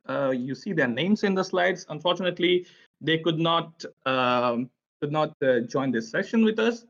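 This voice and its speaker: A man's voice: on the high side, fairly bright tone. Man presenting, lo-fi audio. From India. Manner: friendly, chatty, many filler "uh"s. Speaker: presenter at an online tech session. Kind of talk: conference presentation. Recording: dull, lo-fi online audio.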